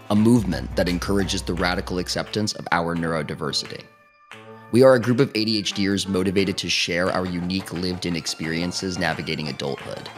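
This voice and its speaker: A man in his thirties hosting a podcast, reading a welcoming intro and asking gentle questions. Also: low-pitched. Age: 30s. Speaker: podcast host. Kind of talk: scripted podcast intro and interviewing.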